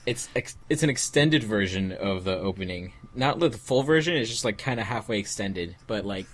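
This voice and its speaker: Lower-voiced man with animated intonation. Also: normal speed. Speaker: fandub editor on a commentary track. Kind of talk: casual group commentary while watching an episode.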